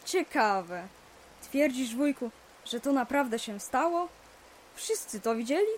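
An actress playing a curious, sceptical young niece in an audio drama. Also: female voice, high voice, expressive, measured pace. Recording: rain noise behind the voice. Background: rain.

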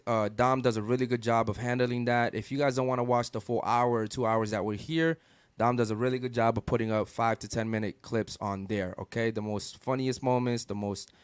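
A man's voice: medium pitch, clear, resonant tone, no echo. A young man hosting a live podcast from a studio, talking loosely and hyping up his viewers, with "guys" and "okay" all the time. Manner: loose, hype-driven, repeats "guys" and "okay". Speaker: podcast host. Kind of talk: casual live podcast chat. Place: studio in Miami.

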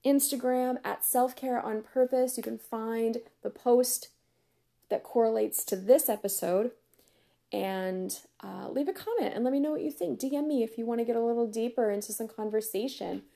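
Woman podcast host, slightly high voice, hoarse, easygoing and chatty with lots of laughs. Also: moderate pace, mellow. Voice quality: chatty, upbeat, laughs often. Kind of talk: casual solo podcast chat.

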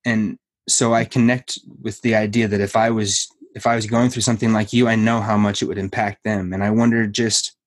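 A man's voice: lowish voice, level tone, brisk, hardly any pauses, no room sound. A man hosting a podcast, speaking earnestly in long reflective sentences as he sets up a question for his guest. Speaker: podcast host. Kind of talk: conversational interview.

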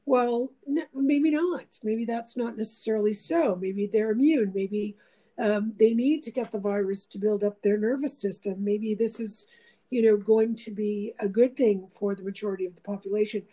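Mellow-voiced woman musing in a casual chat. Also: mid-range voice, normal speed, low-quality recording. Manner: meandering, reflective, with many fillers. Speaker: host.

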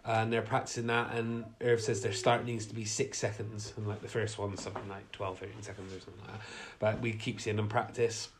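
A man's voice: fairly low pitch, little pitch movement, a little fast, few pauses, slight background noise. A man with a blocked-up nose from a cold, casually recapping a film plot with many fillers. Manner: recounts plot with many fillers, acts out quotes. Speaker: podcast co-host.

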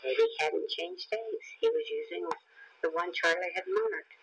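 Lively, bright-voiced man reading a children's story. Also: high-pitched, unhurried, lo-fi sound, slight background noise. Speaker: audiobook reader. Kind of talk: scripted children's story narration.

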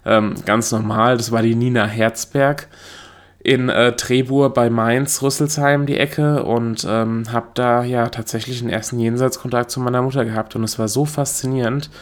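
A man's voice: medium pitch, steady tone, moderate pace. A young adult man from Germany hosting his own solo podcast, talking in a chatty, self-correcting way and laughing at himself. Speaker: podcast host. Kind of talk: casual solo podcast monologue.

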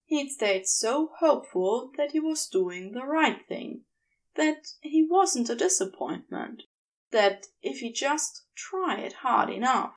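A woman reading a story aloud as scripted, expressive narration in a measured, deliberate way. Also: on the high side, gravelly. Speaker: audiobook narrator of fan fiction.

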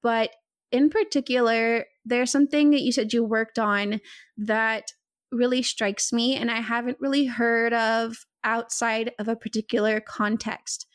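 A woman's voice: on the high side, level tone, unhurried, smooth, no room sound, clean studio sound. A woman hosting a podcast interview, chatty and laughing often as she talks. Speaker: podcast host. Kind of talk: casual interview chat.

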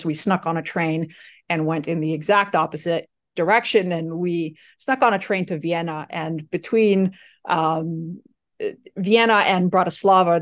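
A woman from Slovakia, Slovak her first language, telling her story casually in an interview with many fillers and restarts. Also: fairly low pitch. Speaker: guest.

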